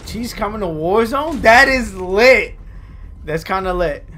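A man's voice: high-pitched, animated, a little slow, pushed and bright. A man in his thirties reacting live and chatting over gameplay, slangy and exclamatory with plenty of swearing. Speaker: gaming streamer. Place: small room at home.

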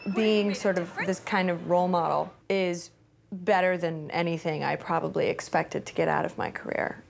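A woman's voice: on the low side, steady tone, moderate pace, vocal fry, slight background noise. A woman speaking in a thoughtful, conversational way during an interview in an indoor studio. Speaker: actor in interview. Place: indoor studio against a backdrop.

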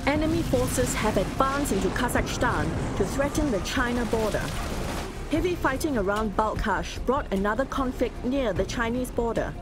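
A woman's voice: fairly high pitch, animated, normal speed, close to an everyday voice. An actress playing a serious female news reporter, delivering a formal scripted report in a video game. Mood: grave, formal. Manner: grave, formal. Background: loud music.

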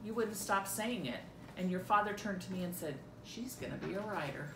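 A woman's voice: lively intonation, a little fast. A middle-aged woman doing a dramatic read-through of a script as a mother, recorded indoors at home. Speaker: amateur reader in a family script reading. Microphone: camera a few feet away. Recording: roomy echo, clear low rumbling noise.

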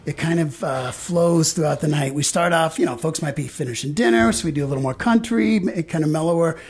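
Husky, higher-voiced man chatting casually. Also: brisk. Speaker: band member, radio guest. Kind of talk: casual interview chat.

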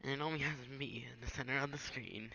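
A man's voice: medium pitch, fast talker, creaky, bright, forceful tone, muffled recording, soft background music. A young man giving a casual, improvised screen-recorded tutorial in an offhand way. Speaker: gaming tutorial maker. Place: indoors at a home computer.

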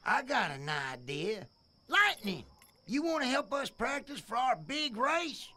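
Goofy Southern tow truck for a racing game: folksy, slow-paced, bright, friendly. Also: male voice, extremely high pitch, exaggerated voice. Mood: cheerful, eager. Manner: folksy, excitable.

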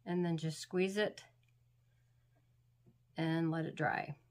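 Woman showing a craft at her table, bit sniffly, rambly homey chat, lowish voice. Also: steady tone, slow pace. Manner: chatty, homey, rambling instructions. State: sniffly, getting over being sick. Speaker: craft channel host. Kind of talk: step-by-step craft tutorial.

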